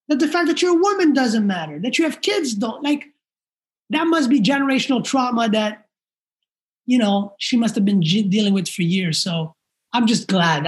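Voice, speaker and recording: Male guest, emotional and earnest storyteller, lightish voice. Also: high voice. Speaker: podcast guest, activist. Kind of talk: casual interview talk.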